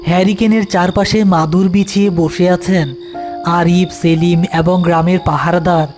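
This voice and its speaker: A man narrating a scripted horror story. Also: a little high.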